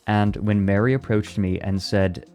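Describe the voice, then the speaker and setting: A man's voice: lowish voice, steady tone, unhurried, warm tone, faint music behind. A young man chatting casually on a live stream, reading and answering his chat and laughing often. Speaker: VTuber streamer.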